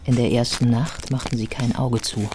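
An actress playing a composed, factual biographer, narrating a radio play in a measured, matter-of-fact way. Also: female voice, extremely low pitch, fairly even intonation, noisy background. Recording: studio radio play with music behind.

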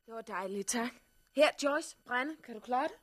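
An actress playing a practical, spirited, caring woman survivor in a 1960s radio drama, brisk and expressive. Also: fairly high pitch, dry recording, clean studio sound, lightly acted.

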